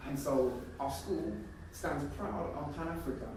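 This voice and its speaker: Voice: mid-range voice, unhurried. Emphatic community organiser in an echoey hall. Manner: emphatic, repeats phrases for stress. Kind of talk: informal speech at a group discussion. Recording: echoey room, distant mic, noisy.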